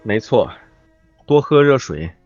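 A man's voice: medium pitch, sing-song, slow, a little mellow. A man chatting casually as a guest on a live stream, joking and reassuring. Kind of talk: casual banter. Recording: dull lo-fi stream audio with music behind. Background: music.

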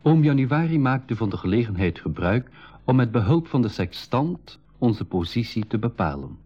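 A man playing a curious young boy adventurer who narrates and talks in a clearly enunciated radio drama style. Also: mid-range voice, very animated, moderate pace, mellow. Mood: narrating. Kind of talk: 1980s school radio drama, narration and dialogue. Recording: dull lo-fi radio recording.

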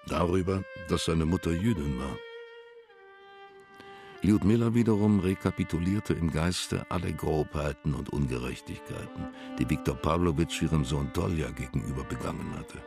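A man's voice: extremely low pitch, normal speed, vocal fry, music in the background. A man narrating a literary German radio drama from a script, as its storyteller.

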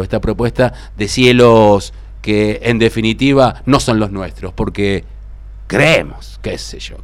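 A man's voice: mid-range voice, clear, resonant tone. A man in his fifties with an Argentine Rioplatense accent, co-hosting a community radio show in a reflective, rambling way with many fillers. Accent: Argentine Rioplatense Spanish. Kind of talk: casual radio sign-off.

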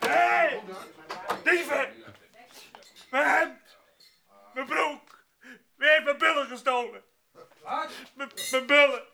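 A man's voice: slow, gravelly, pauses often, sharp tone, heavily acted. An actor playing a hapless, timid male grape picker in a radio drama, stammering and whining plaintively. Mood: panicked, wailing. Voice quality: very high-pitched. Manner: stammering, whining, repeats words.

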